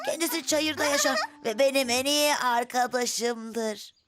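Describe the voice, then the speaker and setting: A woman's voice: high voice, a little slow. A woman voicing a sleepy, loyal, good-hearted young boy bee in a children's cartoon, childlike in manner. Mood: loyal, indignant. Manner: childlike, startled interjections. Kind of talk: dubbed children's cartoon acting. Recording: music behind the voice. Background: music.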